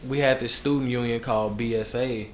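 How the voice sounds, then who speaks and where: A man's voice: medium pitch, a little fast. A young man from Chicago with a Chicago accent, a hip hop artist talking candidly and in slang as a podcast guest. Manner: relaxed, slangy, candid storytelling. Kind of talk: casual podcast interview. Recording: echoey room with faint noise.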